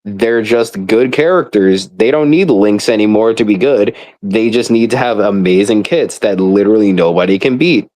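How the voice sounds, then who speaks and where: A man's voice: lowish voice, moderate pace, few pauses, a little mellow. A man rambling through a casual, profane rant as a frustrated gamer, speaking in an exasperated and emphatic way. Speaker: gamer ranting about a mobile game.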